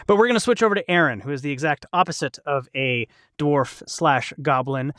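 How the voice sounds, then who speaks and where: A man's voice: on the high side, a little fast, a bit bright, no room sound. A man hosting a casual chat in a playful, joking, nerdy manner, recorded on a close mic. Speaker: host. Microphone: close studio mic on a video call.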